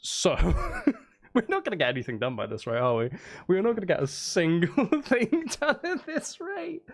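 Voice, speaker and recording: A man's voice: sits high, wildly swooping pitch, moderate pace, creaky. A man chatting casually on a live stream as he makes music, geeky and playful, thinking aloud in a rambling way.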